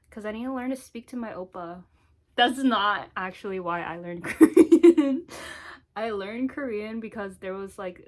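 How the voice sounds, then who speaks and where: Casting: woman, 20s, American accent, mid-pitched voice, casual vlogger delivery, informal and giggly. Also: a little mellow. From United States. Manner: informal, giggly, frequent "like" asides. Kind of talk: casual chatty introduction to camera. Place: small home office and craft room.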